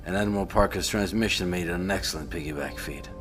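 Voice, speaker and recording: Casting: male actor as a knowing, low-pitched fleet general, understated and scheming, with faint music behind. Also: quick pace, fairly bright tone. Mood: calm, conspiratorial. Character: conspiratorial male space fleet general, calm and shrewd. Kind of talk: scripted science fiction audio drama acting.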